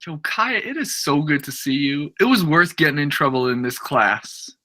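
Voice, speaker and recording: A male teacher with a bright, forceful voice and very lively pitch. He banters with his students in a playful, informal way, dropping in bits of slang. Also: moderate pace. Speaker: middle school teacher.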